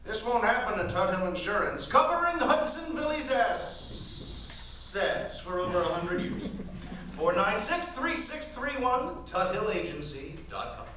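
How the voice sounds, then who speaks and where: A man's voice: sits high, sing-song, unhurried. A man announcing sponsor spots for a live radio theater in a mock commercial style. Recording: echoey hall, distant mic, faint noise.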